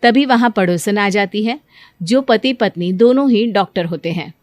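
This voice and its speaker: A woman's voice: medium pitch, moderate pace, darkish tone, no room sound. A woman giving scripted narration for a cartoon story. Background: music.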